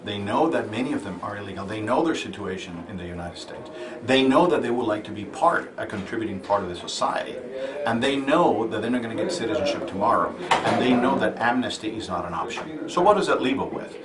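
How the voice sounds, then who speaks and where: A man's voice: on the low side, animated, fairly quick, echoey room, noisy background. A man running for a congressional seat, answering interview questions earnestly and often repeating words as he looks for the phrasing. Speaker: congressional candidate. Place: busy convention hall. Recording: from a distance over a crowd.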